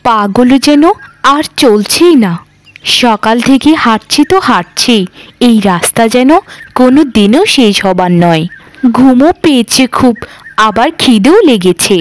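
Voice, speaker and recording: A woman's voice: fairly high pitch, lively intonation, unhurried. A woman voicing a young daughter of a wealthy landlord in an earnest, emphatic cartoon style. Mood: weary. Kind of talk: dramatic cartoon voice acting.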